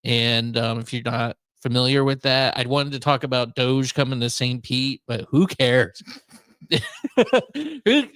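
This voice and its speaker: A man's voice: mid-range voice, steady tone, unhurried, a bit bright, clean tone, no echo, clean studio sound. An American man hosting a casual talk radio show, speaking in an easygoing, informal way.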